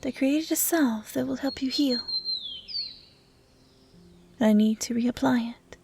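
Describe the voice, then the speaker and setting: A woman voicing a gentle, curious young dryad who tends the forest, speaking in a soft, breathy near-whisper in an intimate ASMR roleplay style. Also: sits high, slow, music in the background, full character voice. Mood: tender, caring. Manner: hushed, breathy, caring delivery, often near whispering.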